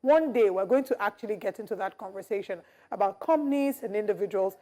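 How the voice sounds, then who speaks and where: A woman's voice: medium pitch, quick pace, warm tone. A woman co-hosting a morning talk show, giving opinions emphatically and stressing her key words. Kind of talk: casual studio chat.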